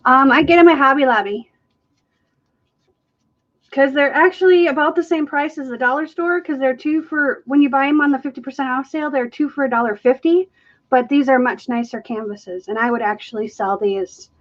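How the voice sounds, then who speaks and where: Woman chatting thru a live paint demo, kinda high voice, dull lo-fi audio. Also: moderate pace. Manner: chatty, self-mocking, laughs often. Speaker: fluid art livestream host. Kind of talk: casual live demo chat. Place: art studio, camera overhead on the table.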